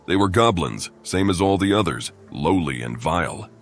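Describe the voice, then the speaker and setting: An actor playing a gruff, stoic male adventurer in a fantasy game, curt and matter-of-fact. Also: sits low, animated, moderate pace, soft background music.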